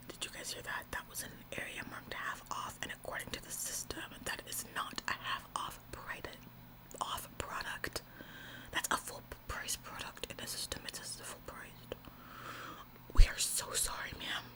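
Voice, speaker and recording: A woman's voice: close to an everyday voice, rough recording. A woman in a whispered ASMR roleplay, playing a bossy, officious store employee who repeats phrases for emphasis. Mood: shocked, suspicious, then gushing apology. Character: bossy, overzealous female retail store employee, falsely apologetic. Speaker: ASMR performer.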